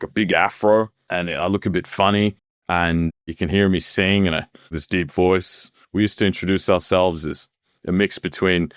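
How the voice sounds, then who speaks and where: Guy in his thirties, very deep and dry, loose chatty guest storytelling. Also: no room sound. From Australia. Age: 30s. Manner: relaxed, rambling, laughs often. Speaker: podcast guest. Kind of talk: casual storytelling interview.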